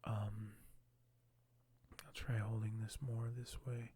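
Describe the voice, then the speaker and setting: A soft-spoken man hosting an ASMR unboxing, talking in a hushed, halting way that often nears a whisper. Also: lowish voice, level tone, slightly rough. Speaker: ASMR video host.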